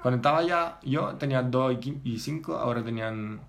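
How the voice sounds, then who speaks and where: A young Italian man with an Italian accent, chatting casually in a second language, pausing and searching for words as he tells his story. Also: mid-range voice, gravelly. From Italy. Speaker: guest. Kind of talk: casual chat.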